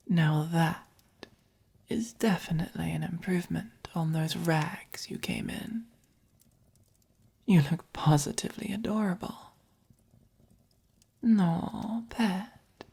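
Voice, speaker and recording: A woman voicing a gentle, possessive, doting vampire in a soft-spoken ASMR roleplay, with an English accent and breathy, whispery, coaxing delivery. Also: lowish voice, full character voice. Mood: adoring, teasing. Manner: slow, coaxing, gently commanding. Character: gentle, possessive, doting dominant vampire keeping a human pet.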